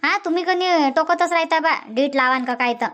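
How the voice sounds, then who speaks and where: A woman voicing a bickering, teasing old village grandmother in a cartoon comedy, with a Varhadi Marathi accent and quick nagging comebacks. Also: high voice, a bit bright, lo-fi sound. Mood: teasing, grumbling.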